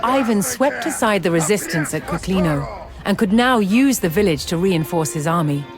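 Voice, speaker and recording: Female narrator, measured and solemn, scripted historical game storytelling with prominent music behind. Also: fairly low pitch, lively intonation.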